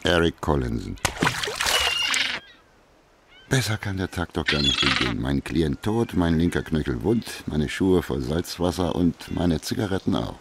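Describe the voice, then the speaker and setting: An actor playing a dry, weary, wry private detective in a German radio crime drama, talking in terse, laconic lines. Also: male voice, extremely low pitch, expressive, moderate pace, creaky, few pauses, very noisy, heavily acted. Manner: laconic, terse, sardonic asides.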